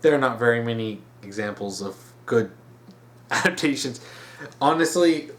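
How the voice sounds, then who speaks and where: A man's voice: medium pitch, expressive, normal speed, a bit bright. A man giving emphatic opinion commentary as a video host, repeating words for stress.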